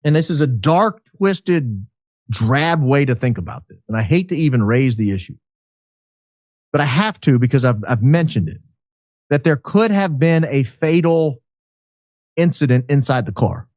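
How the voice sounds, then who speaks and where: A man speaking as a podcast guest, giving speculative commentary in an emphatic, deliberate way. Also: mid-range voice, animated, darkish tone, dry recording. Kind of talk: speculative commentary in a talk show.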